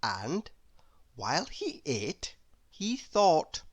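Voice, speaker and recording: A woman narrates a children's story in a low voice. Her pitch swings very widely, and she stretches repeated words for deliberate emphasis. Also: wildly swooping pitch. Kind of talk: expressive children's read-aloud narration.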